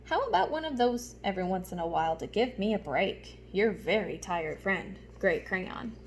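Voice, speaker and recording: A woman's voice: medium pitch, expressive, normal speed, slight background noise, pitched below the performer's own voice, lightly acted. A woman voicing an exhausted, complaining gray crayon from a picture book, pleading wearily. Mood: weary, exasperated.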